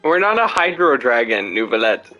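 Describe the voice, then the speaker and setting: A man's voice: medium pitch, very animated, normal speed, bright, forceful tone, muffled recording, faint music behind. A man streaming a video game, giving casual commentary as he plays.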